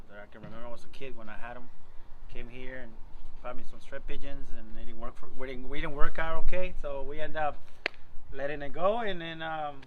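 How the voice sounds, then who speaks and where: A man from Mexico with as his talks informally as an interviewee. His voice sits at an average pitch, and he halts and repeats himself as he goes. Clear noise and vehicle sounds run behind him. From Michoacán, Mexico. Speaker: pigeon race loft owner being interviewed. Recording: noisy outdoor recording with vehicle sounds.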